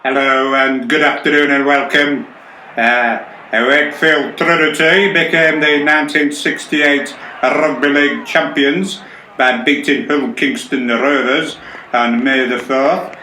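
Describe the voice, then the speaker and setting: A man's voice: medium pitch, sharp tone. A man playing a formal 1960s rugby commentator giving a broadcast introduction in a radio play. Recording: roomy recording with faint background noise.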